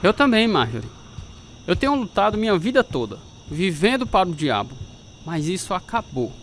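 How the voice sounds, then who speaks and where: An actor with a Brazilian accent playing an earnest, reformed young sailor full of gratitude in a radio drama. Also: male voice, high-pitched, very animated, moderate pace, fairly bright tone, slight background noise, full character voice. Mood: resolute.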